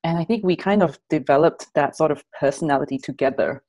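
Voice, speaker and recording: A woman's voice: deep voice, fast. A woman in her thirties from Malaysia, chatting and laughing easily as a guest on a video call, speaking through an earphone mic in a small room at home. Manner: relaxed, giggly, chatty. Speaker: guest content creator. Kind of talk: casual video call interview.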